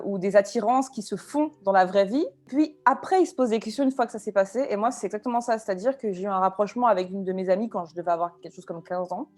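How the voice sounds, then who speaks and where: A woman in her twenties from France, talking casually as an interview guest, often pausing with fillers and restarts. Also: medium pitch, a little mellow. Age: 20s. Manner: hesitant, many fillers and restarts.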